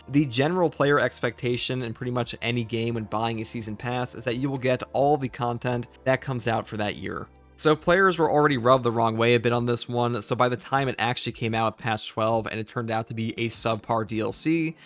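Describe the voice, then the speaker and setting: A man delivering scripted gaming news commentary as a video creator. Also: mid-range voice.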